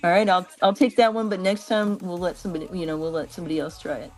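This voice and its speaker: A woman's voice: fairly low pitch, fairly even intonation, fast, a little mellow. A woman joining a livestream as a volunteer reader, chatting casually. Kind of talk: casual chat. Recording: faint music behind the voice.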